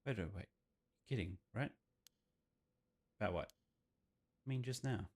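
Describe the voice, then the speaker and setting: A man's voice: fairly low pitch, a little slow, darkish tone. A man voicing chatty, teasing visual novel characters. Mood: playful, questioning. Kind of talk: reading visual novel dialogue aloud. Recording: game music behind the voice.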